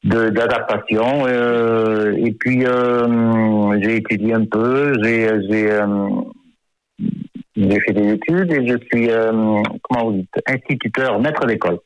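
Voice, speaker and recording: A man's voice: medium pitch, fairly even intonation, slow pace, no echo, rough recording. A man in his fifties, an author born in France, talking in a radio interview and hesitating often, with many fillers and repeated words. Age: 50s.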